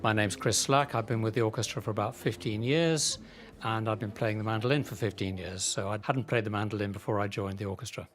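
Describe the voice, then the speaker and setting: A man, an amateur musician, speaking in a measured, articulate way in an interview. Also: on the low side, fairly even intonation. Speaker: amateur mandolin player. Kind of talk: informal interview.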